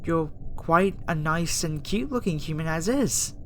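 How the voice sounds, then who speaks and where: A woman playing a gentle, conflicted young alien scientist in an ASMR roleplay, speaking softly and hesitantly straight to the listener. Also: sits low, animated, moderate pace, a little hiss or hum. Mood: gentle concern. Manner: soft, hesitant, talking directly to the listener.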